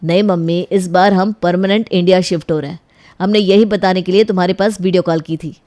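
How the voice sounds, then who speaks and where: A woman acts out an easygoing adult son in a comic cartoon. The voice is dark and mellow, with a reassuring delivery. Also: lowish voice, fairly even intonation, a little fast, close to an everyday voice. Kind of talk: Hindi comic cartoon acting.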